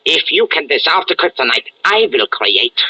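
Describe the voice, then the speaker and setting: An actor playing a megalomaniac German Nazi villain, menacing and grandiose, with a German accent in 1940s radio style. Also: male voice, fairly high pitch, sing-song, moderate pace, bright, forceful tone, husky, muffled recording, heavily acted.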